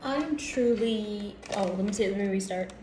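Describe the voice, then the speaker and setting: An American woman chatting casually to camera as a vlogger, in a halting, reflective way that trails off mid-thought. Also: medium pitch. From United States. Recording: roomy echo with background noise.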